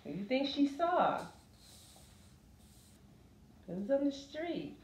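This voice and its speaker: A woman reading a children's story aloud expressively, pausing to put questions to her listeners. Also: high-pitched, big pitch swings, background noise. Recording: roomy, echoey sound.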